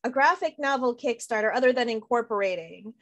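A middle-aged woman with a high-pitched voice hosts a relaxed interview over a video call. Her way of talking is informal and enthusiastic, chatting from a room at home. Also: brisk. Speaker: interviewer, host. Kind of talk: casual interview chat. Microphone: video call computer microphone.

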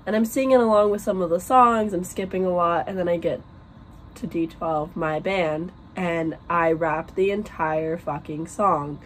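A woman's voice: lowish voice, steady tone, a little slow, a little hiss or hum, low-quality recording. A young woman in her twenties vlogging from her bedroom, chatty and rambling with lots of fillers, her voice worn and losing strength. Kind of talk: casual vlog chat and book talk. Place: small bedroom at home.

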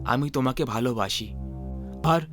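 A man playing an earnest, sentimental young suitor in animated story dialogue, speaking haltingly. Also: medium pitch, over music, pitched below the performer's own voice, close to an everyday voice. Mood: lovestruck.

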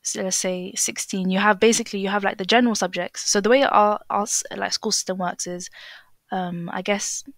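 A woman's voice: medium pitch, steady tone, fairly quick, no echo. A young woman from England with an English accent, chatting as a podcast guest, hesitant with many fillers and restarts. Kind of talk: casual interview chat.

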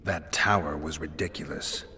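An actor playing a gruff, hardened, sardonic monster hunter held prisoner in a video game, with a dry deadpan delivery. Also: male voice, a bass voice, normal speed, big-room sound, slight background noise, heavily acted. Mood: dry, wary. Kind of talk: dramatic video game acting.

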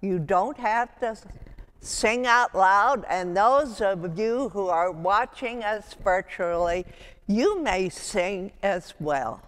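An older woman leading a chapel service, speaking in a gentle, unhurried liturgical way on a lapel mic. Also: medium pitch, lively intonation. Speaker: worship leader. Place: large chapel indoors. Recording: long chapel reverb.

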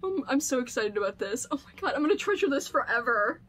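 A woman in her thirties chatting casually and gushing with excitement, as a video host in a home room. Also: sits high, fast. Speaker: book channel host. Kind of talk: casual chat, unboxing vlog. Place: small home room lined with books.

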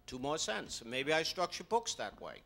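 Older man, bright relaxed panel voice. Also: fairly high pitch, normal speed, creaky, a little hiss or hum. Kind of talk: panel discussion. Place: hotel conference room. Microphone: table mic on a stand.

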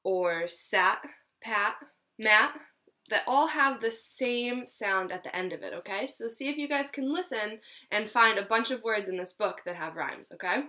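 A woman's voice: mid-range voice, animated, measured pace, sharp tone. A woman in her twenties, a teacher reading a picture book aloud to young children at home. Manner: clear storytelling for young children. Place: small room at home, indoors.

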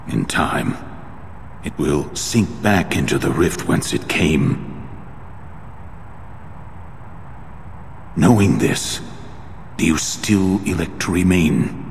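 An actor playing a grave, wistful ancient creator sage in a video game cutscene, speaking in measured, formal phrases. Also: male voice, deep voice, lively intonation, creaky, a little mellow, exaggerated voice. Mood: solemn. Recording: long cavernous reverb, faint background noise.

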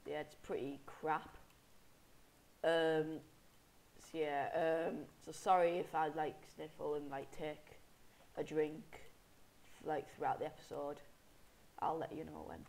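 Young guy, stuffy cold, slow rambly game chat at his desk mic. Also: fairly high pitch, flat delivery, creaky, darkish tone, rough recording. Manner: rambling, self-correcting, mock-angry at enemies. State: sniffly head cold. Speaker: gameplay commentator. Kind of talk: casual game commentary.

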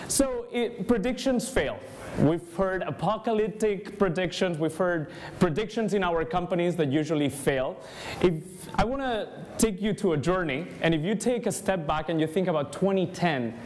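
A young man from Spain with a Spanish accent, giving an energetic conference talk and speaking straight to the audience. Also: high voice. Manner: energetic, engaging, addresses the audience directly. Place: large conference room, indoors.

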